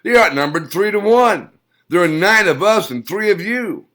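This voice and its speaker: A scornful old clan patriarch, as a male narrator puts him on, taunting in a high, strained, loud voice. He sounds like a mocking older man who believes his side holds every card. This is an audiobook villain with a biting edge. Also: expressive, unhurried, heavily acted.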